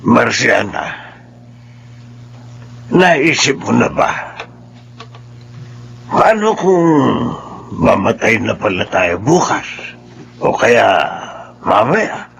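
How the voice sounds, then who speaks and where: A man's voice: mid-range voice, sing-song, extremely slow, vocal fry, long pauses, a bit bright, slight background noise, exaggerated voice. An actor with a Filipino accent playing an anxious, reflective man of sixty in a radio drama. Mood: worried. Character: anxious sixty-year-old village man, reflective and sociable.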